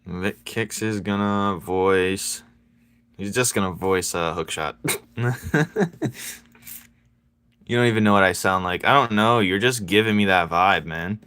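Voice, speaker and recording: A man chatting casually with his viewers while he draws, playful and quick to laugh as he banters with them. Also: deep voice, sharp tone, clear, resonant tone, no room sound. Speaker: streaming artist. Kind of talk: casual chat while drawing.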